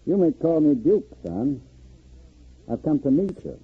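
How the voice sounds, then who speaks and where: A man's voice: a little high, sing-song, a little slow, husky, no echo, exaggerated voice. A man playing a smug, menacing gangster in a 1950s radio drama, mock-polite, sardonic and commanding. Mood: falsely friendly. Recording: muffled vintage 1950s radio recording.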